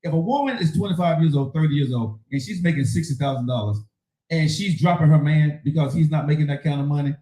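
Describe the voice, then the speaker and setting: A man's voice: on the high side, fast talker, mellow, echoey room, rough recording. A man, hosting a heated casual debate and pressing his point over interruptions. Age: 50s. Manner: insistent, talks over others, repeats himself. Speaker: show host.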